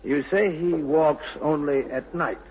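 An actor playing a measured, skeptical doctor who questions hesitantly and deliberately, in 1940s radio style. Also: male voice, a little high, natural voice. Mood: curious, polite. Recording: muffled, hissy 1940s radio broadcast.

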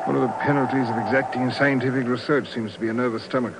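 A man acting a placid, genteel gentleman scientist in a 1950s radio drama, polite, formal and a little hesitant. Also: medium pitch, fairly even intonation, quick pace, natural voice. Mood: genial. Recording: noisy old radio broadcast.

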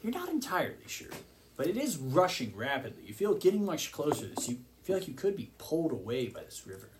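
A man's voice: a little high, wildly swooping pitch, quick pace. Man narrating as game master, some background noise. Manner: descriptive scene-setting in second person.

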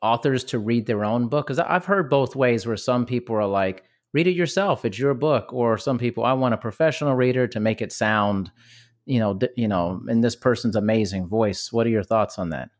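Podcast host, American man, easygoing and chatty, mid-pitched voice. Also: a little fast. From United States. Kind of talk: casual podcast interview.

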